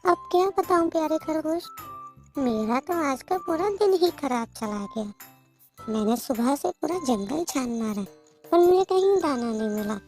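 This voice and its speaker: A woman's voice: high-pitched, lively intonation, a little slow, darkish tone, faint music behind. A woman voicing a sly, self-pitying young female bird in a cartoon moral story, with a whiny, pleading manner. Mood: whining, pleading.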